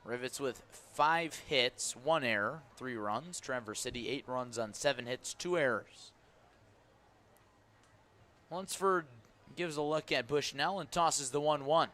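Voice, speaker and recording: Brisk radio baseball announcer, man. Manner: brisk, steady calling of each pitch. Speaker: play-by-play announcer.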